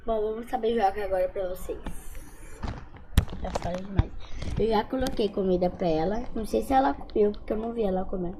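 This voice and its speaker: A young woman, slightly hoarse, rambling through a home vlog and talking right to her viewers. Her pitch sits at a middling height, and there's a faint hiss under the recording. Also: brisk. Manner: chatty, rambling, addresses viewers directly. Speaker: vlogger. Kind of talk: casual vlog chat.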